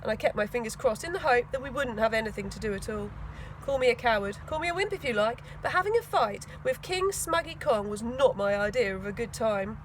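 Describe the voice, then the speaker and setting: A woman's voice: a little high, animated, a little fast, slight background noise. A woman reading a children's storybook aloud expressively, with dramatic emphasis. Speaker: school teaching assistant reading a children's book.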